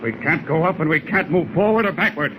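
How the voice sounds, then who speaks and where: An American actor playing a cautious, grave submarine captain in a 1940s radio drama, giving orders in a clipped, commanding way. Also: male voice, fairly high pitch, fairly quick, natural voice. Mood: alarmed, urgent. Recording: noisy old 1940s radio recording.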